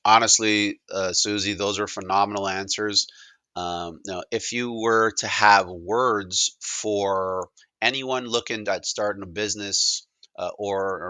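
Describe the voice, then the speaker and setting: A man's voice: low-pitched, steady tone, normal speed, bright, forceful tone, dry recording. A man giving a casual, unscripted product demo, talking in an enthusiastic but hesitant, rambling way.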